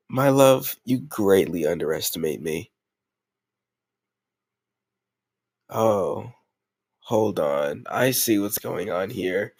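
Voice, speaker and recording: A man's voice: fairly low pitch, creaky, dry recording, clean studio sound, exaggerated voice. A man playing a tough gang leader who is a playful, doting boyfriend in an intimate roleplay audio, teasing and mock-grumbling affectionately. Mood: teasing, tender.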